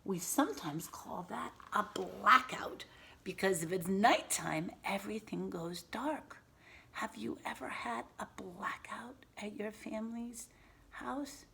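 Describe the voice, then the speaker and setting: A woman teacher reading a picture book aloud to young children, pausing to explain words and ask simple questions in an instructive way. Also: medium pitch, creaky, pushed and bright.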